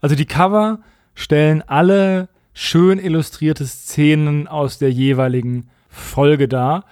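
Wry man, slightly high voice. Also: steady tone, takes it slowly, a little mellow, smooth, no room sound, studio quality. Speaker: podcast co-host. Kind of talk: casual review chat.

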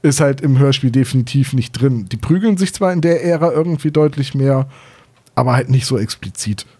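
A man's voice: medium pitch, a little fast, mellow, slightly rough. A man chatting casually as co-host of a podcast about books and audio plays.

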